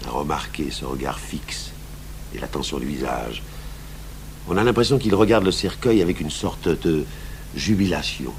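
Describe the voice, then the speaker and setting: Thoughtful stubborn police commissioner in a radio play, low voice that moves around a lot, hesitant, hummy old tape. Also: male voice, lively intonation. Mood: pensive. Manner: hesitant, deliberate, pausing mid-thought. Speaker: actor playing a police commissioner. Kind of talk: French radio crime drama acting. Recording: noisy 1980s radio recording with hum.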